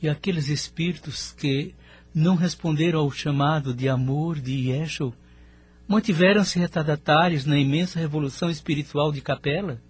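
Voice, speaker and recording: A man's voice: a little high, normal speed, breathy edge. A man playing a respectful, curious pupil in an audio drama, asking in a formal, inquisitive way. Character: respectful curious male pupil questioning his mentor.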